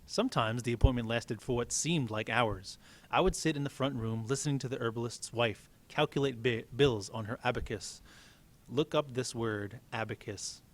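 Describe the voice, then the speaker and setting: A man's voice: medium pitch, moderate pace. A man reading a story aloud as a teacher, with casual explanatory asides.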